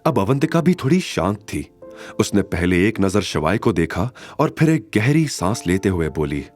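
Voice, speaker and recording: A man narrating a scripted drama story in an expressive, suspenseful storytelling style. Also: on the low side, normal speed, soft background music.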